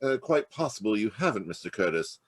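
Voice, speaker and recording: A man acting as a formal, guarded gentleman of a wealthy household in an old-time radio mystery. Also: lowish voice, very animated, fairly quick, breathy edge. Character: formal, guarded adult son of murdered millionaire. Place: remote video call performance.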